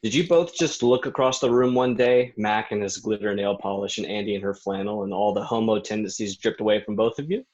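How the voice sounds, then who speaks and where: A male actor plays a sarcastic young man with a fairly low voice, snide and bitter as he needles his friends. It is a staged play reading, captured with dull, lo-fi sound. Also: a little fast, hardly any pauses, a little mellow, lightly acted. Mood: mocking. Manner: snide, mocking. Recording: dull lo-fi recording.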